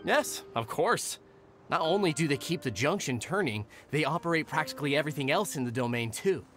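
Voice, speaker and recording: A man's voice: a little high, animated, a little fast, fairly bright tone, faint music behind. An actor playing an earnest, testy young male caretaker in a video game, fussy and articulate, with faint noise behind. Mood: earnest, polite.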